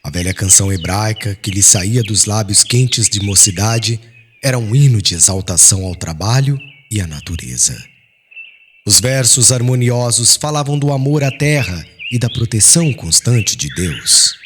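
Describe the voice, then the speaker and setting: A man's voice: fairly low pitch, animated, normal speed, fairly bright tone, slight background noise. A man reading an audiobook as a solemn, formal and dramatic literary narration.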